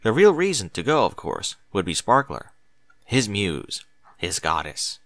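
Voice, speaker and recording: A man's voice: on the low side, big pitch swings, unhurried, fairly bright tone, dry recording. A man reading a story aloud as scripted narration, with dramatic, emphatic delivery. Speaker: audiobook narrator of fan fiction.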